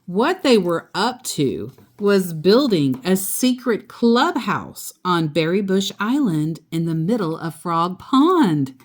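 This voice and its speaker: Woman slowly reading a storybook, very lively pitch. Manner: explains the story in simple asides. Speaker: storyteller reading a picture book to a child. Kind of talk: storybook read-aloud with asides to a child.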